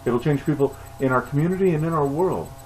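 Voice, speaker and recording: Earnest pastor preaching into a webcam. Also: male voice, fairly high pitch, fast talker, a little mellow, a little hiss or hum, low-quality recording. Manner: earnest preaching, reads scripture aloud with emphasis. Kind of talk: sermon. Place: living room, seated on a couch, re-recorded at home. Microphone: webcam at close range.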